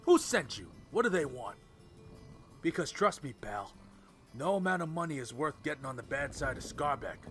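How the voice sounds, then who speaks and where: A man playing a bitter, desperate young man on the run in an audio drama, talking roughly and swearing. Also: a little high, big pitch swings, normal speed, fairly bright tone. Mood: defiant, wary. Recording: music behind the voice.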